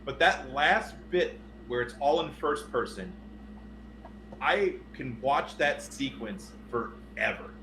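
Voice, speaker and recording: A man co-hosting a podcast, chatting casually about films and games in a rambling, animated, opinionated way with some swearing. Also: medium pitch, slow pace. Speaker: podcast co-host. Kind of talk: casual film and game discussion. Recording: roomy echo with background noise.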